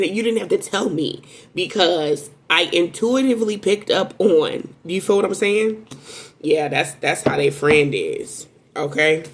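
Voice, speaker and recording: Rambling animated woman reading tarot. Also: creaky, a little hiss or hum. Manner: rambling, animated, with sudden high exclamations; self-described strong voice. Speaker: tarot reader. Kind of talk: casual spoken tarot reading.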